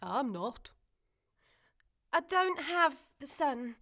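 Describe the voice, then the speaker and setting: Woman acting a very high-voiced young adventurer girl. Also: very animated, drawn-out words, fairly bright tone, heavily acted. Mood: reluctant. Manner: plain-spoken, earnest. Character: young adventurer girl, sincere, unsure but stubborn. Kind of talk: family audio drama acting.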